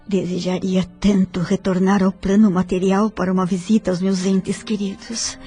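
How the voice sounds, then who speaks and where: An actress with a Brazilian Portuguese accent playing a gentle woman spirit who longs for her loved ones in an audio drama. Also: medium pitch, flat delivery, moderate pace, husky, soft background music. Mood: wistful.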